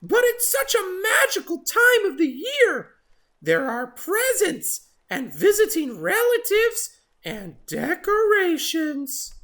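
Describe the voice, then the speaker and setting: A woman voicing an excitable, festive female oxpecker bird in a children's storybook, chirping with insistent, drawn-out exclamations. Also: extremely high pitch, pitched above the performer's own voice, exaggerated voice. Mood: excited, insistent.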